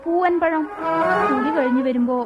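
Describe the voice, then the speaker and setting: Brief: a woman as a shy, coaxing young wife, high voice, muffled old radio sound. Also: normal speed, loud music behind the voice, close to an everyday voice. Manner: coaxing, coy, pleading. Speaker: radio drama actress. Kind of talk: radio drama acting. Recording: muffled radio broadcast recording.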